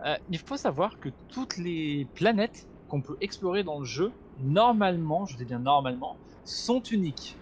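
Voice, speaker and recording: A man talks through a co-op game, pointing things out and explaining how it works. His voice sits a little high, and a faint hiss runs under the recording. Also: expressive, normal speed, hardly any pauses, dull audio. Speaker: gamer, co-host of a gaming channel. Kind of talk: casual co-op gameplay chat.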